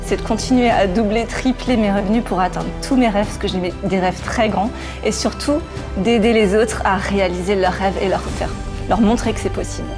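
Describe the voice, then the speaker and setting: Woman, forties, mid-range voice, testimonial style with clear music underneath. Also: mid-range voice, fairly quick. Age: 40s. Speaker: self-employed beautician giving a testimonial. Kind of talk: promotional testimonial.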